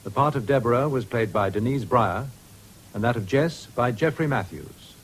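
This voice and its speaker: Male announcer, RP, medium pitch, measured formal scripted credits, slightly noisy vintage radio sound. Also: expressive. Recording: faintly noisy 1970s radio broadcast.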